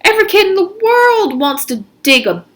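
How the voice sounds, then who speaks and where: A woman's voice: very high-pitched, big pitch swings, normal speed, a bit bright, voice pushed up, full character voice. A woman voicing a cocky teenage boy, a pushy, needling camp ringleader in a storybook. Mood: excited, needling.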